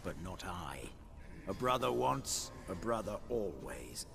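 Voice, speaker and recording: A man's voice: low-pitched, very animated, slow, gravelly, exaggerated voice. An actor playing a cold, domineering nobleman in a video game, haughty and menacing as he asserts his rule over the family. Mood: smug magnanimity. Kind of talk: dramatic video game acting.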